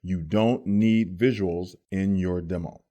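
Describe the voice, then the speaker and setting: A man's voice: low-pitched, fairly even intonation, takes it slowly, mellow, muffled recording. A man speaking as a voice actor giving a casual, scripted tutorial.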